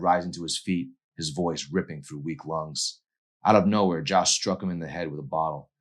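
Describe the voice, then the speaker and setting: A man reading a novel aloud in an intense, theatrical narrating style. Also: rumbling low. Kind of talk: dramatic audiobook narration.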